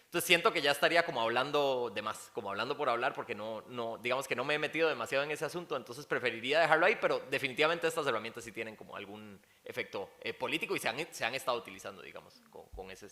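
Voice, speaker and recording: Guy on a uni panel, bright tense voice, cautious, lots of "digamos" hedging. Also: mid-range voice, rapid-fire, hardly any pauses. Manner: hesitant, cautious, many fillers and restarts. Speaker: panelist answering a question. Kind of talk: academic panel answer. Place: university lecture hall.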